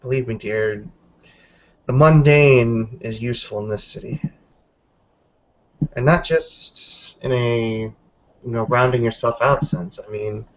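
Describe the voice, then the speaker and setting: A man playing a guarded, knowing werewolf woman in an improvised roleplay, speaking in a measured, hedging, explanatory way. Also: medium pitch, muffled recording. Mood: advising.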